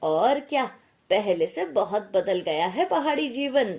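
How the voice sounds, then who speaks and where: A woman voicing an easygoing middle-aged father in a story, measured, agreeable and practical. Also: mid-range voice, sing-song, darkish tone. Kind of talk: acted storytelling dialogue.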